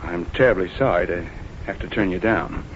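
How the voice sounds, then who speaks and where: Man acting a low-voiced, courteous, menacing killer. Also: normal speed, lightly acted. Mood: guarded politeness. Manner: polite, genteel, calmly threatening. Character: soft-spoken, courteous, menacing fugitive male killer. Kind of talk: 1940s radio acting. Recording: muffled, noisy 1940s radio recording.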